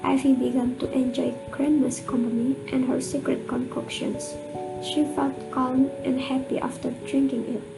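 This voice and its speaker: Woman narrating a radio play, bit of fry in the voice, clear music behind. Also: high-pitched, flat delivery, normal speed, low-quality recording. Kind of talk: scripted storytelling narration for an amateur radio drama.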